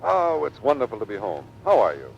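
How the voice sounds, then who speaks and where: A man's voice: wildly swooping pitch, brisk, creaky, full character voice. A man acting an earnest, devoted skipper husband in a vintage radio drama. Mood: hearty, elated. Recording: dull vintage radio, faint rumble.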